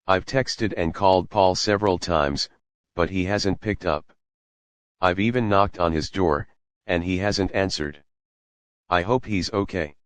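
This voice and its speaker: A man playing a deep stern worried friend. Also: measured pace, clear, resonant tone, no room sound. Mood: concerned. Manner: firm and questioning. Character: concerned young male friend, stern.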